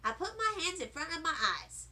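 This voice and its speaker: Animated, high-pitched woman reading a story. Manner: animated, deliberate. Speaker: storybook reader. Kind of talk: expressive children's story narration.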